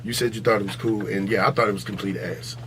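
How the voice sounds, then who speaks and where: A man's voice: lowish voice, fairly even intonation, quick pace, crowd noise. An American man co-hosting a podcast in casual, profane banter, speaking bluntly and emphatically and repeating his points. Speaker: podcast co-host.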